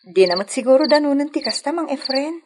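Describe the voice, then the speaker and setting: A woman playing a gentle, dutiful young village woman in an Ilocano radio love drama, with an Ilocano accent. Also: high-pitched, lively intonation, fast, very noisy. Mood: gentle, reassuring.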